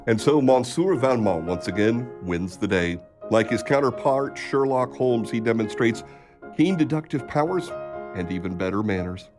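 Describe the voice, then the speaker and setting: A man's voice: mid-range voice, lively intonation, moderate pace, music in the background. A man narrating a radio theatre programme as its announcer, reading from a script.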